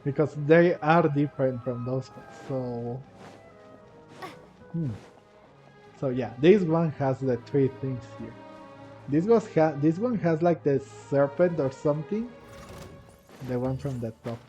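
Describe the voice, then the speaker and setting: A man giving casual let's-play commentary, rambling and halting as he thinks aloud. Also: medium pitch, animated, mellow, dull audio. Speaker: gaming commentator. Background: video game music.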